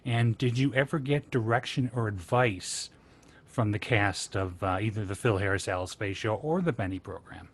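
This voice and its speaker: A man's voice: fairly low pitch, normal speed. A man politely putting audience questions to the guests in a panel Q and A.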